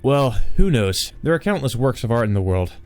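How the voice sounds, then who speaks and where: A man's voice: mid-range voice, very animated, normal speed, a little mellow, soft background music. A man voicing an anxious, guarded male artist who is hesitant, evasive and formally polite, in a visual novel.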